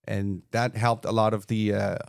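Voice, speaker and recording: A man's voice: fairly low pitch, little pitch movement, moderate pace, gravelly, dry recording, studio quality. A man in his forties from Montreal with a Quebec French accent, French his first language, telling stories easygoing as a firefighter-paramedic guest on a podcast interview. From Montreal, Canada. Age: 40s.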